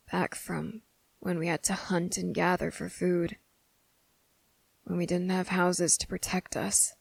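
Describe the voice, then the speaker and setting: Woman voicing a guarded, gravelly-voiced young boy, hushed. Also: fairly low pitch, full character voice. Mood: wary, brooding. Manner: hushed, halting, pauses mid-thought. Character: young boy, guarded and fed up, confronting a hidden monster. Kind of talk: ASMR roleplay monologue.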